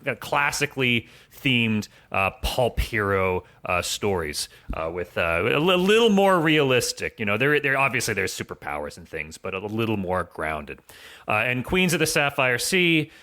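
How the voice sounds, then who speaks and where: A man with a bright, forward tone at an ordinary male pitch. He talks as a podcast guest, explaining things conversationally. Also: very animated, normal speed. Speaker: podcast guest, audio drama writer. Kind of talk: casual explanatory chat.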